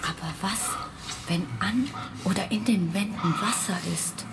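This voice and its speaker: A woman's voice: medium pitch, unhurried, very noisy, lightly acted. A woman playing a pragmatic, soothing, down-to-earth figure in a radio drama. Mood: matter-of-fact.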